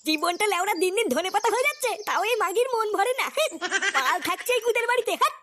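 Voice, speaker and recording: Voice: very high voice, expressive, quick pace, bright, forceful tone, full character voice. An actor voicing a foul-mouthed, lazy, lecherous young married man in a comic cartoon, crude and whiny. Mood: angry, whining.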